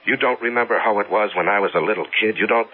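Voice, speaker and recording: A man's voice: on the low side, fast, slightly rough, narrow, tinny audio. A man acting in an old radio drama as an obsessive, grieving American businessman, intense and insistent, repeating himself. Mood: impassioned, reproachful. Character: obsessive, grieving self-made businessman son. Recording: band-limited old radio recording with music.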